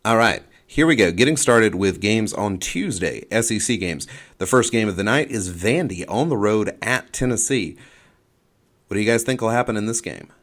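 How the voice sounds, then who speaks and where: A man co-hosting a sports podcast, giving opinions and game picks in casual talk, halting and repeating phrases as he reasons. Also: lowish voice, lively intonation, a bit bright.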